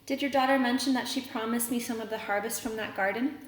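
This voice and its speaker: A woman's voice: on the high side, steady tone, fast talker, voice pushed up, lightly acted. Woman putting on a dour, scolding neighbor lady. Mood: snide, suspicious. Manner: stiff, disapproving, insinuating. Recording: roomy echo, noticeable background noise.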